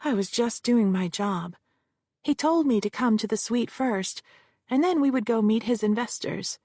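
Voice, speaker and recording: A woman's voice: fairly high pitch, lively intonation, moderate pace, darkish tone, no echo, clean studio sound, voice pushed up. A woman voicing a young female witness with a Southern California accent, answering in short, defensive replies.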